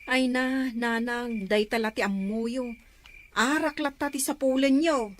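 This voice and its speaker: A woman's voice: a little high, measured pace, natural voice. A woman playing a caring but exasperated aunt who fusses over her niece and scolds her mother in an Ilocano comedy-drama.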